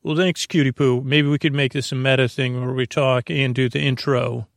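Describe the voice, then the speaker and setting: A man with a creaky, gravelly voice at a middle pitch, recorded close and dry. He talks like a sleep-podcast host, gently lulling. His sentences wander, hesitate and drift into tangents. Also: fairly even intonation, normal speed, no room sound. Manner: meandering, hesitant, often trailing into tangents. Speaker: host of a bedtime-story podcast. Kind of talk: rambling sleep-podcast monologue.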